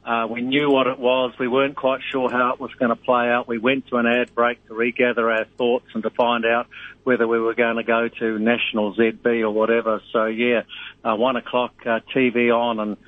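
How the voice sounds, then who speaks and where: Middle-aged man, New Zealand accent, rambling and halting, tinny phone line, dry sound. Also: mid-range voice, little pitch movement, no room sound. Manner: rambling, halting with many fillers. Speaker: radio host, guest. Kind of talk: casual radio chat.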